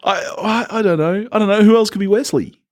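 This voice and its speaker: A man's voice: sits high, brisk, darkish tone, no room sound, clean studio sound. A man co-hosting a film podcast, chatting casually and arguing back in a teasing, contrarian way. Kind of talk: casual chat, bantering debate.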